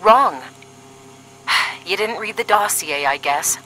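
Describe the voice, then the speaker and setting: A woman acts out a dry, sarcastic young female agent in an audio drama. Her voice is medium in pitch and clearly bright, serious and a little weary, with faint noise behind it. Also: lively intonation, takes it slowly, low-quality recording. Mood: sardonic. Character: sardonic, duty-minded young female paramilitary agent, weary sister. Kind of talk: scripted audio drama dialogue.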